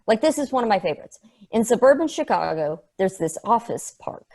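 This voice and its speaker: A woman's voice: medium pitch, big pitch swings, brisk, darkish tone. Woman acting a sardonic, rambling, sweary female probe. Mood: wry, ranting. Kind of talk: conversational acting in a script table read.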